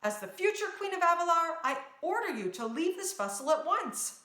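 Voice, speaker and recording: A woman's voice: very high-pitched, very animated, normal speed, bright, forceful tone, pitched above the performer's own voice, heavily acted. A woman playing a brave, determined young princess in a storybook, speaking earnestly and with command. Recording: roomy, echoing sound.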